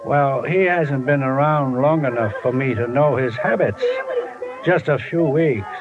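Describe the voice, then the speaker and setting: A man's voice: medium pitch, a little slow, natural voice. A man playing a rambling, forgetful, self-mocking drunken old informant in a 1950s radio drama, slurring grandly. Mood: muddled. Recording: tinny vintage radio recording, music behind.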